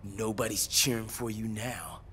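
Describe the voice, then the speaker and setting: An actor playing a cocky, idealistic young fiery superhero in a dramatic video game. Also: male voice, lowish voice, unhurried. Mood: taunting. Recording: loud music and effects behind.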